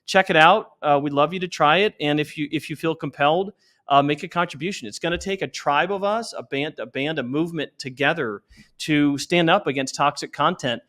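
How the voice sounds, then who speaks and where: A man speaking as a company founder in an interview, earnest and encouraging as he makes his pitch. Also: brisk, a bit bright. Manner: earnest, exhorting. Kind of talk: earnest promotional interview talk.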